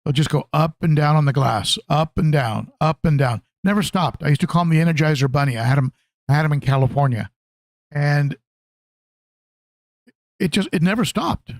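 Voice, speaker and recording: A man hosting a casual hobby livestream, talking conversationally and haltingly, often repeating phrases as he answers viewers. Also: a little high, no echo. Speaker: livestream host, aquarium hobbyist.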